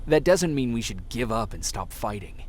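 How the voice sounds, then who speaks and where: A man's voice: medium pitch, very animated, normal speed, darkish tone. A man playing a dutiful, determined army sergeant in a video game, with an earnest, resolute delivery. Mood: earnest, guarded. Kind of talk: video game cutscene acting.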